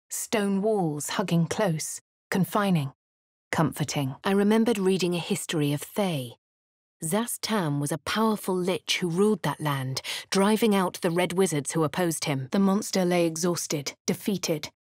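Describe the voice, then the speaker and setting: A composed young adventurer with an upper-class English accent. She narrates her own deeds in a measured, clipped manner, slightly low in pitch. The studio sound is dry and close. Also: darkish tone, dry recording. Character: posh young adult woman adventurer, composed. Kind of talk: game character narrating her actions.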